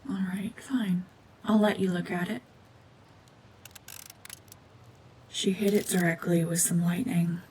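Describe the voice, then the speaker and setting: Low, flat-toned cold witch, acted by a woman. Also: a little hiss or hum, natural voice. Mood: guarded, slightly softening. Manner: hushed, breathy, brooding, deliberate delivery. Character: cold, mean, world-weary gorgon witch, war veteran cyborg. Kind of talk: dramatic ASMR roleplay monologue.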